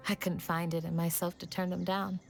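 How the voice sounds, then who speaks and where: Gentle, composed village guardian. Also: female voice, fairly low pitch, gravelly. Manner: calm, gracious. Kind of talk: anime video game dialogue.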